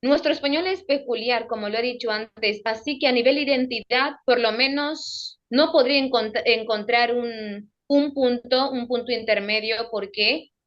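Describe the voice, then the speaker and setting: A young woman with an Equatoguinean Spanish accent speaks in a measured, academic way, pausing to repeat and correct her words. Her voice sits fairly high. The sound is dull and lo-fi, as over a remote call. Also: fairly bright tone. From Equatorial Guinea. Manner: careful, self-correcting, repeats phrases. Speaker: guest, radio presenter.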